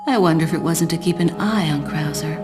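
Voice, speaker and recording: An actress playing a cool, self-assured female secret agent in a video game, speaking in a detached, measured, guarded way. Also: deep voice, music in the background, lightly acted. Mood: measured, analytical. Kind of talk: video game cutscene acting.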